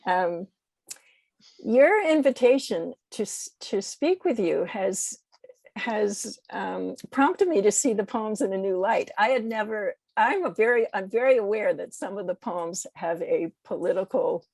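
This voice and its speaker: A woman's voice: medium pitch, lively intonation, unhurried, gravelly, darkish tone, no echo, low-quality recording. A woman poet speaking reflectively and a little hesitantly as a podcast guest, talking about literature and reading.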